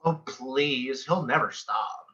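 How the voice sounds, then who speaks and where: Man playing a bright, sassy office coworker. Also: mid-range voice, slow pace. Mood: catty, gossipy. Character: sassy, nitpicking, gossipy male office coworker. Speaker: actor in a sitcom table read. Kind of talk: sitcom table read dialogue. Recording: muffled video call audio.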